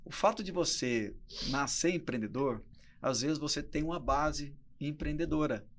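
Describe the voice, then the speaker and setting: A man's voice: fairly high pitch, moderate pace. A Brazilian man in his early forties talking casually as a guest entrepreneur on a podcast. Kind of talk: casual conversational interview talk.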